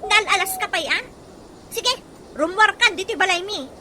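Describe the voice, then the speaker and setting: A woman's voice: very high-pitched, moderate pace, sharp tone, a little hiss or hum, full character voice. A woman acting a bitter, aggrieved young wife in an Ilocano radio drama, complaining and scornful in an Ilocano accent. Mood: angry, scornful.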